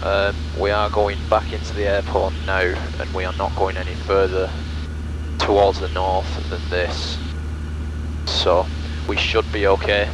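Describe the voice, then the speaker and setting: A man's voice: low-pitched, little pitch movement, measured pace, noisy background. A man narrating his flight casually as he pilots a small plane, talking on a headset mic. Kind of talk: casual in-cockpit narration while flying. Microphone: aviation headset mic. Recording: loud engine drone in small plane cockpit.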